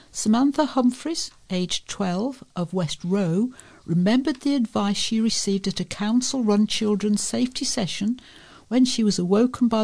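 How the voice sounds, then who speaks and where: A woman's voice: medium pitch, gravelly, mellow. A woman reading scripted local news and features as a volunteer newsreader.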